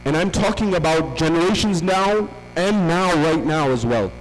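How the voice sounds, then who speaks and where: A man's voice: fairly high pitch, lively intonation, moderate pace, big-room sound, poor audio. A man giving a religious lecture as a visiting speaker, asking rhetorical questions and repeating phrases for emphasis.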